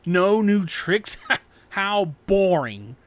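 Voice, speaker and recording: A man voicing an arrogant, mocking villain swordsman in a fan fiction story, taunting with drawn-out words. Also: high-pitched, big pitch swings, dry recording, voice pushed up, exaggerated voice. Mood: gloating.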